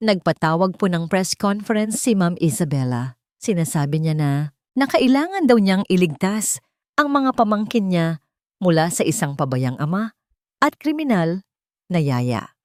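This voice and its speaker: A woman's voice: lowish voice, very animated, normal speed, darkish tone, dry recording. A jittery, respectful office assistant delivers an urgent update in a raised voice, played by a woman narrator. The sound is dry and close. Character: polite, anxious office assistant.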